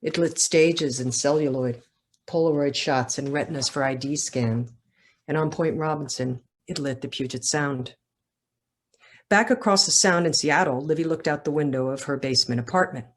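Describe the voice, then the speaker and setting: Woman writer at a book event, lowish voice, presenting her own work. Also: normal speed. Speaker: author. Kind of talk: casual talk, then literary reading aloud.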